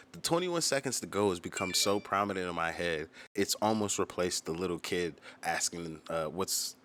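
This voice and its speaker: A man's voice: low voice, fairly bright tone. A man giving casual, profanity-laced commentary on his own music, telling stories and acting out quoted exchanges as a musician hosting his channel.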